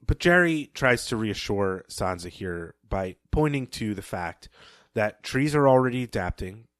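Low-voiced man recapping a story. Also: animated, a little slow, fairly bright tone, no room sound, studio quality. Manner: thoughtful, explanatory, with occasional asides. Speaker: podcast host.

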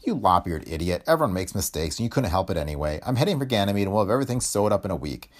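A gruff, decisive film boss from a space adventure, acted by a male reader. He is brusque and slangy, with a growl over a soft heart, and his delivery is lively and varied. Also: low voice, racing. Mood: gruffly affectionate.

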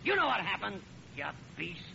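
An actor playing a gruff, rough-spoken, scornful American lodge brother in a 1940s radio comedy. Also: male voice, sits high, sing-song, normal speed, noisy background, heavily acted. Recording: muffled old radio recording.

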